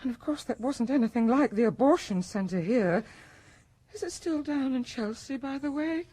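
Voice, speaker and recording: A faded exile from a sterile future city, gushing and rambling in a high, breathy voice that dips to whispers and climbs to tearful cries. It is a BBC-style radio drama performance. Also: female voice, lively intonation, normal speed, dark tone, dry recording. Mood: wistful, rambling. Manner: gushing, rambling, swings from whisper to shrill cry.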